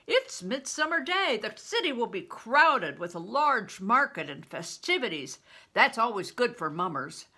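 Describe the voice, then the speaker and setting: A woman voicing a big, gruff, commanding man, a traveling performer in a storybook reading, who speaks in blunt orders and oaths. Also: a little high, sing-song, unhurried, pushed and bright, exaggerated voice. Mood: solemn, evasive. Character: big, gruff, commanding middle-aged male traveling mummer.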